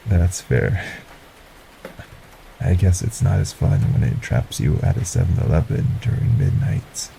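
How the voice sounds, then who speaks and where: Polite, quietly menacing stranger, played by a man: dark mellow tone, soft breathy delivery, formal manners, faint background noise. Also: low voice, animated, a little slow, exaggerated voice, low-quality recording. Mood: smooth, courteous. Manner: formal, courteous, softly menacing. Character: polite sinister male stranger, secretly a kidnapper. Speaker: audio roleplay voice actor. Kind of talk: audio roleplay acting.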